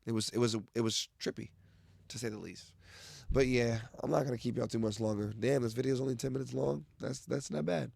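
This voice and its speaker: Raspy young man ranting, gravelly. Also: mid-range voice, fairly even intonation, a little fast, darkish tone, no room sound. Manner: loose, profane, rambling with restarts. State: raspy voice from the weather. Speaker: vlogger. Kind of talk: casual rant to camera.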